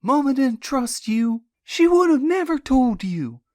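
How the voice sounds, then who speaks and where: Audiobook role: hysterical young woman accuser, acted by a female narrator, emphatic, venomous, raised animated pitch. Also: a little slow, no room sound, clean studio sound. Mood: venomous anger. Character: furious, grief-stricken young woman accusing her uncle.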